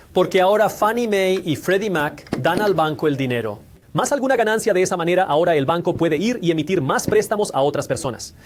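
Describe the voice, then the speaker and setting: A man's voice: a little high, a little mellow. A man hosting a channel about money, talking viewers through a scripted explainer on how the mortgage market works. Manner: brisk, explanatory, speaks straight to viewers. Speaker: finance channel host.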